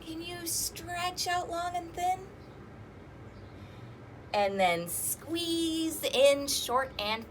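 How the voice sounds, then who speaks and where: A woman's voice: very high-pitched, a bit bright, slight background noise. A woman hosting an interactive storytime, reading aloud to young children with singsong emphasis and inviting them to join in. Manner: asks listeners questions and invites them to join in.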